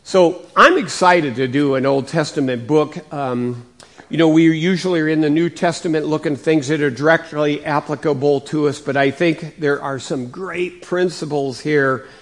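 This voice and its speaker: A male pastor preaching a sermon in a conversational way, his voice slightly raspy from coughing, rising and getting louder to stress points. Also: on the high side, normal speed. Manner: conversational, with many fillers and restarts; rises and gets louder for emphasis.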